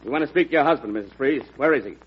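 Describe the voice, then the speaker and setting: A man performs an unyielding man of the law in a vintage radio play. He speaks with solemn, declamatory authority at a medium pitch, on a dull recording with faint noise. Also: animated, racing, close to an everyday voice. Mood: stern, commanding. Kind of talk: old-time radio drama acting.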